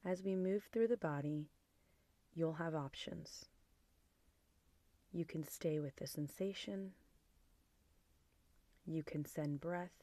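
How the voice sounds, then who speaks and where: Slow, low-pitched woman guiding meditation. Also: no echo. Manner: soft, gentle, soothing, with breathy near-whispered phrase endings. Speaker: meditation guide. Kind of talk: guided body scan meditation.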